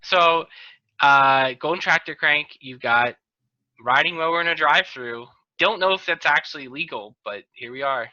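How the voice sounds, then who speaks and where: A man hosts a casual panel chat in a rather high, very bright and forceful voice. He is on a video call, and the sound is slightly dull and lo-fi. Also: normal speed. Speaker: podcast host.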